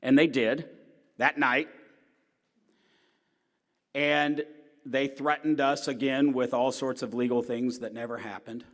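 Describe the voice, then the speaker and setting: A middle-aged man with a loud, strong voice gives a talk as a newspaper columnist. He tells personal stories earnestly, rising to emphatic points and dropping to quiet asides. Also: medium pitch, flat delivery, slow, long pauses. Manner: earnest storytelling, swinging between emphatic and quiet. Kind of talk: personal lecture to an audience. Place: large hall. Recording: long hall reverb.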